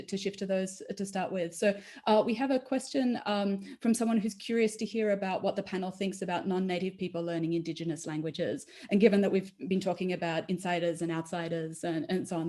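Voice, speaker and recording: A woman moderates an online panel discussion, her voice somewhat low and warm in tone. She talks haltingly, restarting phrases and leaning on fillers as she passes questions to the panelists. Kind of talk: panel discussion over video call.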